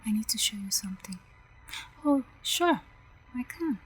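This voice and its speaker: A woman playing an uneasy young woman in an audio drama, in a breathy, hushed voice. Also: on the high side, expressive, unhurried, heavily acted, rough recording.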